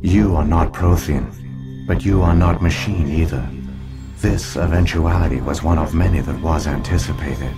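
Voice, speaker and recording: Deep, solemn ancient AI, slow and measured, over loud game music. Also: male voice. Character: ancient damaged male AI archive system, grave.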